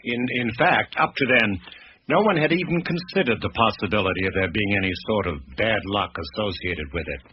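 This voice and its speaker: An actor playing a grave heir recounting his family's cursed heirloom tale in measured, literary radio-drama storytelling. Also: fairly low pitch, expressive, a little hiss or hum, close to an everyday voice. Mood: matter-of-fact. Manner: measured, literary, deliberate delivery. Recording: muffled radio drama with music underneath.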